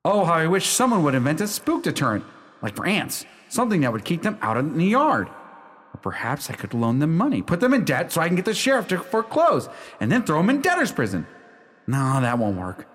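An actor playing an exasperated, lovestruck young bridegroom in a radio play, earnest, wordy and theatrical. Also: male voice, a little high, wildly swooping pitch, a little fast, fairly bright tone. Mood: pondering.

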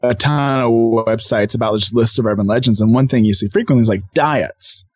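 A man's voice: mid-range voice, a little fast, no echo. A man talking as a podcast guest, eagerly explaining things in casual conversation. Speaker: podcast guest, co-host of own show. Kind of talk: casual interview chat.

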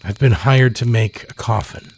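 A man voicing an exasperated, gruff woodcutter in a horror story, given to sighing and hissed reprimands. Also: mid-range voice, moderate pace, darkish tone, natural voice. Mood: weary patience. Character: gruff, exasperated woodcutter hired to make a coffin.

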